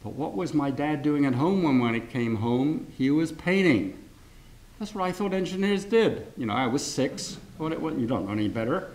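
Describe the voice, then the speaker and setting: A man's voice: a little high, animated, moderate pace, darkish tone, rough recording. An older man giving a seminar talk, telling family stories in a loose, rambling way, correcting himself and chuckling often. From grew up in France and England. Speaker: seminar speaker. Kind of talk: informal lecture with anecdotes. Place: lecture hall.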